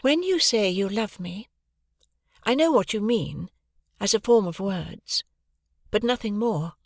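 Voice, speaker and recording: Man doing a high, aloof young lady, unmoved, slow and cool, storybook reading. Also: no echo, studio quality, full character voice. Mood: cool, detached. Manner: calm, measured, high and light. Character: cold, proud young woman, calm and unmoved.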